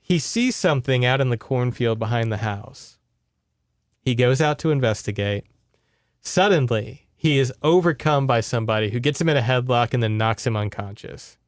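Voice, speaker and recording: A man's voice: medium pitch, moderate pace, no room sound, clean studio sound. A man hosting a podcast, retelling a book in casual, conversational chat and checking in with his listeners. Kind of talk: casual story recap chat.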